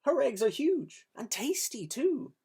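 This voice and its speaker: A panicky little farmyard duck pleads in a high, bright squeak, as a storyteller puts her on. The voice is raised and lively, husky at the edges, the sound of a storybook creature trying to talk her way out of a sack. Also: measured pace, full character voice. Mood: pleading. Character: frantic downy duck begging not to be taken.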